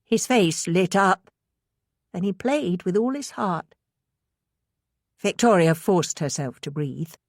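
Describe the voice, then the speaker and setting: Woman, deep-ish voice, audiobook narration, suspense novel, dry studio-like sound. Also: expressive, takes it slowly, long pauses, dry recording. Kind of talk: scripted suspense fiction narration.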